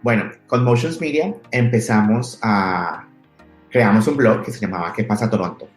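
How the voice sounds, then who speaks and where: A Colombian man in his thirties speaks in a low, easygoing voice, telling his story anecdotally as an interview guest. The room is echoey, and faint music plays underneath. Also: normal speed. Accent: Colombian Spanish. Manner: relaxed, anecdotal, frequent restarts. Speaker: entrepreneur guest.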